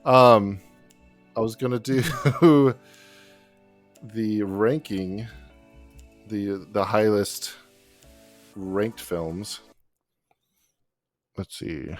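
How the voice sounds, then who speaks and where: Man, deep voice, relaxed podcast host, chuckles, faint music underneath. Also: extremely slow, pauses often. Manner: relaxed, chuckles often, reads figures off notes. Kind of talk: casual podcast chat.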